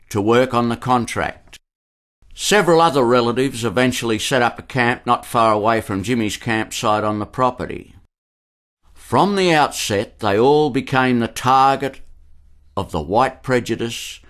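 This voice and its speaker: A man narrating a scripted historical documentary radio play. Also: medium pitch.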